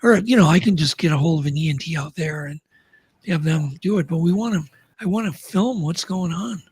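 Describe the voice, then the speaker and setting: A man's voice: on the high side, brisk, darkish tone, slightly rough, no echo. A man talking earnestly as a podcast guest, in a casual chat, often restarting his sentences.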